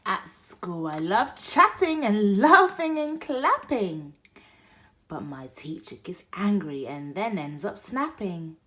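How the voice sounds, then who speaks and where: A woman reading a picture book aloud for toddlers, expressive, moving between hushed whispers and loud exclaims. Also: mid-range voice, takes it slowly. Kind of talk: read-aloud bedtime story for toddlers.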